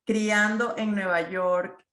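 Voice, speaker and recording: A woman's voice: mid-range voice, steady tone, takes it slowly, a bit bright. A woman hosting a podcast interview, friendly, with frequent "este" fillers. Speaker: podcast host. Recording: roomy echo.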